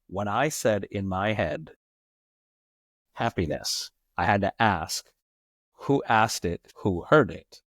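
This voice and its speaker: A man's voice: lowish voice, expressive, slow, pauses often, fairly bright tone, no echo. An American man speaking as a podcast guest, telling stories in an emphatic, philosophical way and building his points in long runs. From United States. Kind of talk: reflective conversational storytelling.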